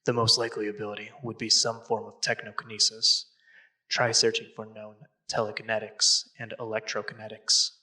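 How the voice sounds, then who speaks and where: Sharp, raspy, smug male boss played by a man. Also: lowish voice, completely flat delivery, brisk, no room sound, heavily acted, poor audio. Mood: businesslike. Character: smug wealthy male leader of secret investigators. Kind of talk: audio drama acting.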